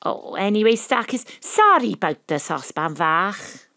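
A woman's voice: mid-range voice, big pitch swings, normal speed, vocal fry, pushed and bright, dry recording, full character voice. Plucky welsh lady detective, arch and self-important, audio drama, close dry recording. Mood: patient. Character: plucky, self-important woman detective narrating her own case. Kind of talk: audio drama acting with narration.

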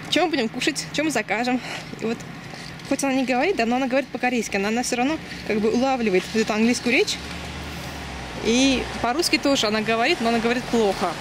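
A woman in her thirties from Uzbekistan, chatting loosely as she walks a city street at night and films on a handheld camera, with many fillers and restarts. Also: on the high side. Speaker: vlogger. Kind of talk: casual walking vlog. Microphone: handheld camera mic. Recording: outdoor street noise and passing cars. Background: traffic and street ambience.

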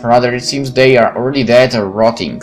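A man reading a visual novel aloud in a theatrical, expressive manner, with spoken asides. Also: medium pitch, a little fast. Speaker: gaming channel narrator. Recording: music behind the voice.

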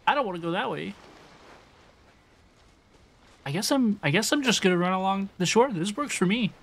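A woman's voice: medium pitch, expressive, fast talker. A young woman game streamer chatting casually with viewers as she plays, often repeating her phrases. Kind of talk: casual live-stream chat and gameplay commentary. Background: music.

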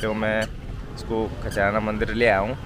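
A man's voice: lowish voice, little pitch movement, moderate pace, heavy background noise. A young man, chatting casually to his vlog viewers and calling them brother and friends. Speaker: vlogger. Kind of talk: casual vlog chat to camera. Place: outdoors by a road at dawn, later indoors in a room.

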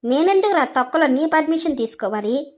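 Woman acting a very high-pitched feisty young woman. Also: expressive, brisk. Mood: angry, accusing. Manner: scolding, confrontational, insult-laden. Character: feisty, hot-tempered young female employee who berates her boss. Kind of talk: dramatized story dialogue.

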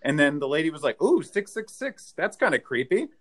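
A man in his thirties doing a stand-up set with deadpan one-liners, filmed on a webcam at home. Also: on the high side, brisk. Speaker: stand-up comedian. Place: living room at home. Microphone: webcam, video call framing.

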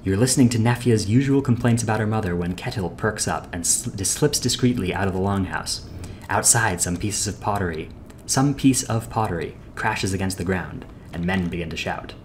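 A man's voice: low-pitched, a little hiss or hum. A young man hosting a game playthrough, reading character dialogue aloud in a plain voice and then chatting casually about his choices. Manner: reads character lines plainly, then chats casually with pauses and fillers. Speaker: let's play host. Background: game music.

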